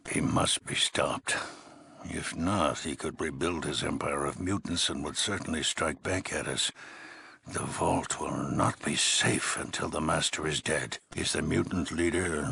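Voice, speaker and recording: An actor playing a worried, authoritative male leader of an underground vault in a video game, with a gravelly, husky voice and a grave, deliberate manner. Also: rumbling low, pushed and bright, heavily acted. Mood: stern, urgent. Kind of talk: dramatic game dialogue.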